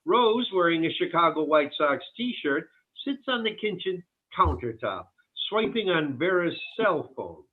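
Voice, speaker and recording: A man's voice: fairly high pitch, very animated, measured pace, few pauses. A man reading stage directions aloud as scripted narration for a table read. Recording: thin, phone-like audio.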